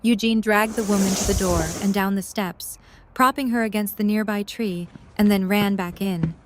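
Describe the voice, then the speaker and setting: Mid-pitched woman narrating a story. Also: fairly even intonation, normal speed, background noise.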